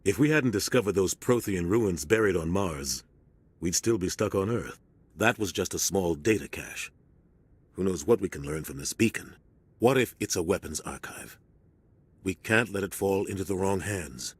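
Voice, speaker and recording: A deep-voiced steady starship captain. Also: male voice, normal speed, slightly rough, no echo. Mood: earnest. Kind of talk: video game cutscene acting.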